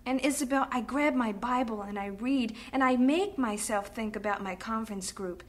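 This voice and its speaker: Actress as a steadfast young widow, somewhat high, quiet grief. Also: female voice, normal speed, lightly acted. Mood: resolute. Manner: quiet, grieving but composed. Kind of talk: radio drama acting.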